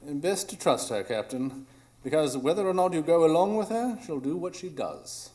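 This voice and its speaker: A man's voice: fairly high pitch, very animated, normal speed, darkish tone. A man playing a brilliant, coolly analytical detective with a formal, precise manner in a live radio drama. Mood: urgent, assured. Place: small improv theatre stage.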